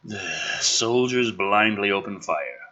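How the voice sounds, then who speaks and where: A man doing a dramatic script reading with sarcastic, sweary commentary, swinging between hushed narration and exasperated ranting. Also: on the low side, expressive, slow pace, muffled recording. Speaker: host of a gaming and commentary channel.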